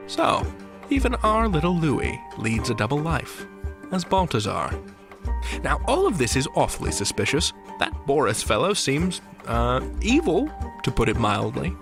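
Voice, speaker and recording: A man's voice: mid-range voice, big pitch swings, unhurried, over music. A man hosting an audio drama podcast in a scripted presenting style. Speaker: podcast host.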